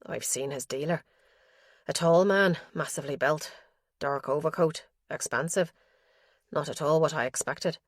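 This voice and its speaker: A woman's voice: deep voice, normal speed, no echo, studio quality. Woman reading as a worried old diarist father. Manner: anxious, confessional, guilt-ridden. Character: worried elderly father writing in his diary.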